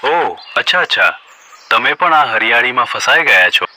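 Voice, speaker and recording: A man's voice: mid-range voice, sing-song, moderate pace, very noisy, close to an everyday voice. A man doing a sly scheming cartoon donkey. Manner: crafty, smooth-talking. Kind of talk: cartoon voice acting. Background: background music.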